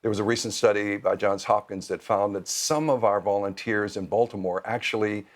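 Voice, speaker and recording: A man over fifty giving measured, articulate explanations as an interview guest. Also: on the low side, fairly even intonation. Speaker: nonprofit program leader, interview guest.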